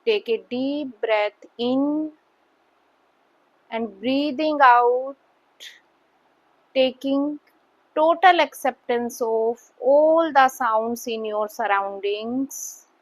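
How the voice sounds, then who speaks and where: Very slow Indian woman guiding meditation. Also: a little high, rough recording. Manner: calm, unhurried, with long pauses between short instructions. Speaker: yoga and meditation instructor. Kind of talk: guided meditation, gentle spoken instructions.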